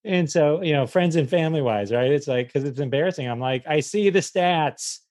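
A man's voice: a little high, brisk, dry recording, studio quality. A man chatting casually as a comedian guest on a podcast, telling stories in a self-deprecating way and acting out the quotes. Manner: self-deprecating, animated retelling with acted quotes. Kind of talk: casual comic interview chat.

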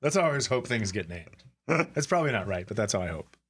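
A man's voice: fairly low pitch, very animated, fast talker, a bit bright, slightly rough. A man co-hosting a comedy podcast, riffing casually with profane, sarcastic jokes into a room microphone. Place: studio room.

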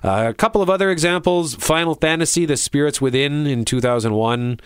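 A man's voice: on the high side, a little fast, clean tone, no room sound, studio quality. A man co-hosting a show, chatting casually and with animation about entertainment. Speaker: show co-host. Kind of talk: casual chat reviewing entertainment.

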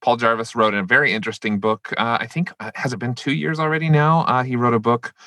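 A man's voice: mid-range voice, steady tone, fast, bright, forceful tone, no echo. A man hosting a podcast, reacting in a friendly way and steering the guest with questions. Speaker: podcast host. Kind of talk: casual podcast interview.

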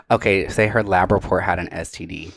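A man's voice: deep voice, moderate pace, vocal fry, a bit bright. A man co-hosting a casual livestream with banter and prank calls, talking in a slangy, sassy way. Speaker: co-host making prank calls.

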